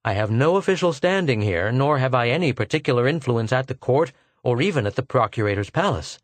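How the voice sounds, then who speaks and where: A man's voice: mid-range voice, lively intonation, brisk, no room sound, natural voice. A man doing a blunt, impatient archaeologist. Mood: exasperated. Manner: blunt and exasperated. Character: frank, impatient male archaeologist.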